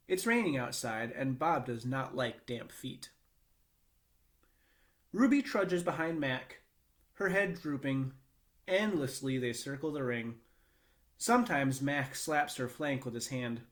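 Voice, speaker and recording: A man giving an expressive read-aloud narration of a children's novel. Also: medium pitch.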